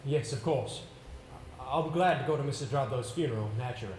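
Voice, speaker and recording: A man's voice: medium pitch, darkish tone, natural voice. Man acting an earnest young solicitor, echoey stage. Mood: polite, inquiring. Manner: formal, measured, literary. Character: earnest, polite young male solicitor narrating his tale. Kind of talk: amateur stage play narration and dialogue. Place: indoor stage, picked up with room sound. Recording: roomy, noisy amateur theatre recording.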